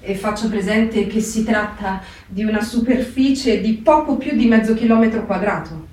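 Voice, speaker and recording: A woman's voice: medium pitch, normal speed, dark tone, natural voice. An actress playing a reflective woman writing letters from a wartime camp, in a dramatic literary reading. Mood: grave, wry. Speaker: stage actress. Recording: very echoey, distant, music behind. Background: guitar music.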